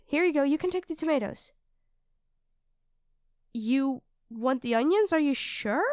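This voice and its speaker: A woman's voice: high-pitched, lively intonation, a little slow, no echo, studio quality. A woman playing a sweet, self-doubting young girlfriend in an intimate ASMR roleplay, speaking hesitantly and earnestly to her partner. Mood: caring, helpful. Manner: hesitant, soft-spoken, earnest, addressing a partner directly.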